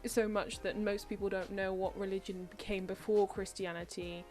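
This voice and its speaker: Young Welsh woman host, mid-range voice, chatty halting questions over faint music. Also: medium pitch, level tone, a little mellow. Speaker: podcast host. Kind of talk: casual chat.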